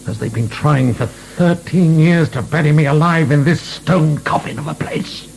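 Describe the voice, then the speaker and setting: An actor playing an imprisoned French marquis in a radio drama, an imperious, mocking, obscene libertine with a theatrical, grandiose delivery. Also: male voice, fairly high pitch, normal speed, vocal fry, a little hiss or hum. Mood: stifled, defiant. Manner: theatrical, grandiose, chuckling, swinging into shouts. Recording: echoey stone-room radio drama.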